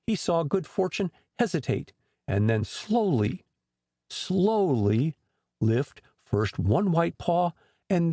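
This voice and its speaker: Guy reading a storybook aloud, expressive, fairly high for a man, slightly raspy. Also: no room sound, clean studio sound. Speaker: audiobook narrator. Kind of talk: scripted storybook narration.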